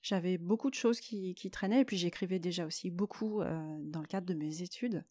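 A woman hosting a solo podcast, explaining her personal experience in a reflective monologue with frequent pauses mid-phrase. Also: lowish voice, dark tone, no echo, studio quality. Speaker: podcast host.

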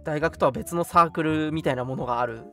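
A man's voice: fairly high pitch, fast, fairly bright tone, soft background music. A man in his twenties co-hosting a radio show, reminiscing in casual conversation. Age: 20s. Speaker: radio show co-host and drama studio member.

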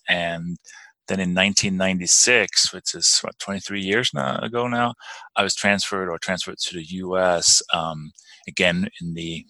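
Danish guy in his 40s, really deep voice, crisp bright tone, dry close sound, lots of ums and restarts. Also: no echo, poor audio. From Denmark. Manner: hesitant, many fillers and restarts. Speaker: podcast guest. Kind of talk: interview answers.

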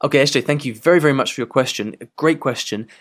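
A man's voice: mid-range voice, quick pace. A man with an English accent hosts a podcast in a casual, rambling manner, full of fillers and restarts. His voice comes through a broken microphone with poor sound. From England. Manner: chatty, loose, full of "you know" fillers and restarts. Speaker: podcast host.

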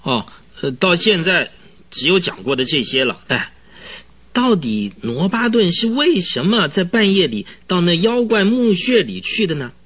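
A high, excitable, husky rambling witness. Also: male voice, unhurried, heavily acted. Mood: puzzled, chatty. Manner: rambling, dramatic storytelling full of interjections. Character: excitable, rambling, easily frightened male horse trainer witness. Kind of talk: radio drama acting.

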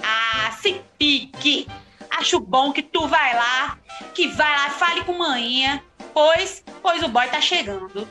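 A feisty, fretful sister with a Northeastern Brazilian accent, played by a woman in a comic radio soap. Her voice is high and sharp, swinging widely as she scolds and whines melodramatically. Light music sits behind her. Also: lively intonation, slow pace, full character voice. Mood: nagging, teasing. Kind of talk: comedic radio soap opera acting.

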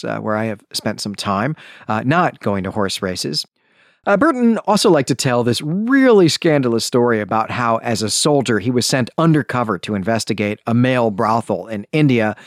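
A man with a medium-pitched voice hosts a literary podcast. He speaks in a conversational lecture style, circling back to rephrase and qualify what he says. The recording is dry and free of room sound. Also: no room sound. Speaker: podcast host.

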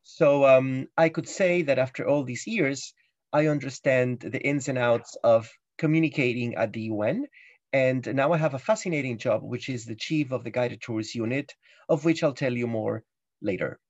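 Measured man with halting ums. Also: medium pitch, a little mellow, dry recording, highs cut off. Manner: thoughtful, frequent ums and restarts. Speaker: guest, UN guided tours chief. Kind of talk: explanatory podcast talk.

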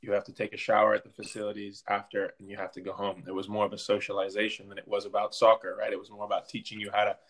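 A man's voice: on the low side, completely flat delivery, quick pace, few pauses, a bit bright. A man from Belgium speaking informally in an interview as a soccer coach, often circling back and repeating words. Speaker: interview guest, soccer coach and team captain. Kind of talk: conversational interview.

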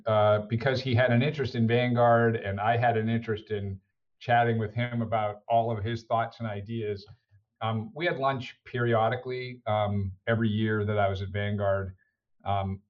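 A man's voice: fairly low pitch, highs cut off. A middle-aged man with an American accent, speaking conversationally and hesitantly as a podcast interview guest. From United States. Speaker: interview guest, senior executive.

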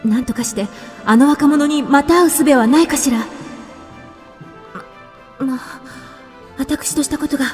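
A woman's voice: high-pitched, normal speed, pauses often, darkish tone, faint music behind, lightly acted. A woman acting in a radio drama as a haughty young noblewoman in mourning, easily smitten, with formal, imperious speech. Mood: smitten, flustered.

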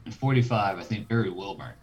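A man's voice: on the low side, lively intonation, quick pace, dull audio. A man co-hosting a sports podcast, joking along and rambling through self-corrections. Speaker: podcast co-host. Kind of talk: casual sports chat.

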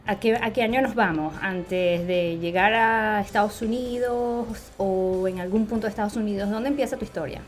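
A Venezuelan woman psychiatrist co-hosting a podcast, speaking in a warm, measured way. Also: mid-range voice, faint music behind. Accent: Venezuelan Spanish.

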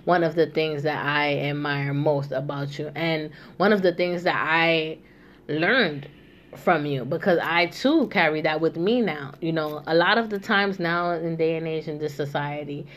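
A woman's voice: low-pitched, unhurried. A young woman hosting a casual chat, talking loosely with many asides and fillers. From New York. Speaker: host.

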